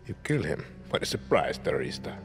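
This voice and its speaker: An actor playing a ruthless, volatile president in a game cutscene, speaking in measured, deliberate phrases with pauses for effect. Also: male voice, low voice, big pitch swings, creaky, full character voice. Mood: cold menace. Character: ruthless male dictator president, theatrical, coldly controlled, volatile. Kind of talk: video game cutscene acting. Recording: long hall reverb, music behind.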